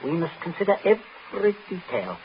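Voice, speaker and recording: Domineering father, swooping high voice. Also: sing-song, moderate pace, breathy edge, heavily acted. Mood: cold, calculating. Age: middle-aged. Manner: clipped, domineering interrogation. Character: stern, calculating middle-aged father questioning his family. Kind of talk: 1940s radio thriller acting. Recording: muffled, noisy 1940s radio broadcast.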